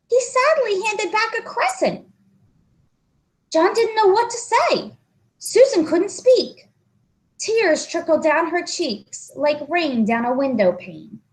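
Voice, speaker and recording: A woman reading a children's story aloud as an expressive narrator. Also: very high-pitched. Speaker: storybook reader.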